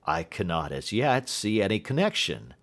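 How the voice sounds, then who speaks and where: A man voicing an earnest, puzzled gentleman companion in an audiobook, speaking plainly. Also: on the low side, very animated, moderate pace. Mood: puzzled, doubtful. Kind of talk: audiobook character dialogue.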